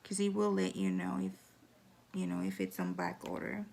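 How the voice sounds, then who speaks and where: A woman with a hoarse, raspy voice giving a casual at-home nail how-to, chatty with lots of fillers. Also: on the low side, very animated, moderate pace, a little mellow. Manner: chatty, filler-heavy, drops into whispers. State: hoarse from talking. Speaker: nail tutorial vlogger.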